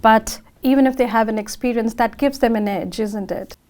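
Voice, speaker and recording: A woman hosts a TV interview and asks her guest questions in a friendly way. Her voice sits fairly high, and she reacts warmly to each answer before moving on to the next question. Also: moderate pace. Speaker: TV show host.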